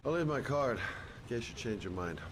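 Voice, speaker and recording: An actor playing a calm, world-weary male private detective in a video game, measured, dry and reassuring. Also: mid-range voice, noisy background, lightly acted. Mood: weary, probing. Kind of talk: dramatic video game acting. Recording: music and rain behind voice.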